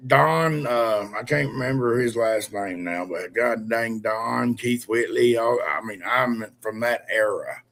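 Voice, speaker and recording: A man in his late forties with a gravelly, fry-laden voice at a middling pitch. He chats casually with friends, swearing freely and getting keen when he talks. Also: a little slow, hardly any pauses, fairly bright tone. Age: 40s. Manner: gravelly, swearing, enthusiastic about country music. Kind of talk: casual chat.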